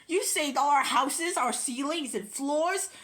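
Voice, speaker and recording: A woman's voice: high voice, a little slow, a bit bright. A woman voicing a tiny male mayor of a town on a speck, polite and thankful in a piping voice. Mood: grateful.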